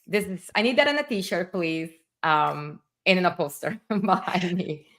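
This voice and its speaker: A woman hosting a live stream, speaking English with a Spanish accent. Her voice sits a little low, and she talks with eager enthusiasm, scattering fillers through her chat. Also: a little slow, fairly bright tone. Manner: enthusiastic, chatty, frequent fillers. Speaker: livestream host. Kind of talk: casual livestream conversation.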